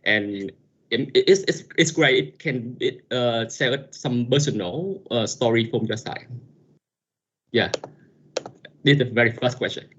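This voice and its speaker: Man, audience questioner, middle pitch, hesitant, repeats words, muffled call audio. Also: measured pace, long pauses, fairly bright tone, husky. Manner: hesitant, repeats words while searching for phrasing. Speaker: audience member asking a question. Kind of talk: asking a question in an online talk.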